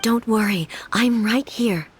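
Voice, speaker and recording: A woman playing a stern, composed female ruler in a video game, speaking formally with measured authority. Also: on the high side, slight background noise. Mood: reassuring. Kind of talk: scripted game dialogue acting. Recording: game music behind the voice.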